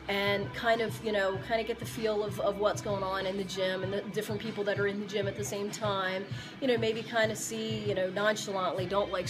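A woman in her forties talks straight to the camera from her own gym floor, giving friendly advice that wanders and is full of fillers and restarts. Music plays clearly in the room behind her. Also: mid-range voice. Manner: rambling, many fillers and restarts. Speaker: gym owner and fitness coach. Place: indoor gym among weight machines. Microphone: camera or phone at close range.

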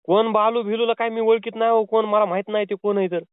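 A man's voice: high-pitched, little pitch movement, quick pace, clean tone, dry recording. A man making a prank phone call, posing as a delivery man, insistent and pleading. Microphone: phone line. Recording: tinny phone call recording.

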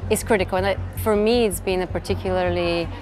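Woman, 30s, from Bulgaria, measured, reflective, interview answers, music behind. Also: fairly low pitch, steady tone, darkish tone. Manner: thoughtful, measured, with frequent fillers and restarts. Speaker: interviewee, tech executive. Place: event interview set, indoors.

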